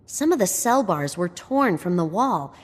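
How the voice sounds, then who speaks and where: A woman voicing a wry, bookish explorer-scholar in a video game, explaining things with dry dark humour. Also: fairly low pitch, very animated, measured pace. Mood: analytical. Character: wry, nerdy female explorer-scholar, quick with dark jokes. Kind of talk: scripted video game dialogue. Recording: long hall reverb, game music behind. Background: game music.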